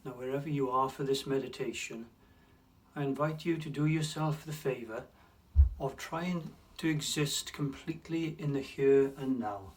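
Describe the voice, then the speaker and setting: A man leading a guided mindfulness meditation in a calm, unhurried way, leaving long pauses between phrases. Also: medium pitch. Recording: distant mic with faint room noise.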